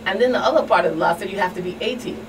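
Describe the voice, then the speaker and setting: A woman's voice: mid-range voice, racing. A woman with a Caribbean accent answering as a talk show guest, animatedly retelling exchanges and repeating phrases. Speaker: guest expert, community outreach specialist. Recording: distant mic, clear background noise.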